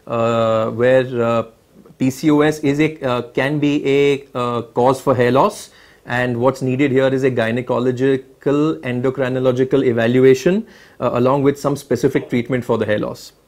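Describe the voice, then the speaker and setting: A man with an Indian accent hosting a medical question-and-answer session, explaining and restating points clearly for viewers. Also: medium pitch, steady tone, moderate pace, a little mellow. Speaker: webinar host. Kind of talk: moderating a medical Q&A, reading and recapping.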